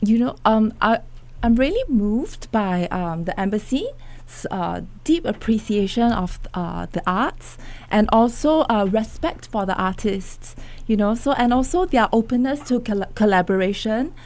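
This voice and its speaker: Thoughtful woman photographer, higher voice. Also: lively intonation, measured pace, a little mellow. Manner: reflective, hesitant with fillers. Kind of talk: radio interview guest.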